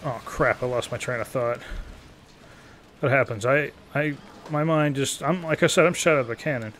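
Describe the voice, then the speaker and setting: A man's voice: medium pitch, a bit bright, a little hiss or hum. A man in his thirties giving casual, rambling, self-deprecating live gameplay commentary, often wandering off on tangents. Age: 30s. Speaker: gaming video commentator.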